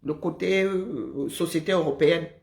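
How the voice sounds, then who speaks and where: A woman from Cameroon with a Cameroonian French accent, chatting casually and telling lively stories, often breaking into laughter. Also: on the low side, normal speed, gravelly. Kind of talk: casual chat.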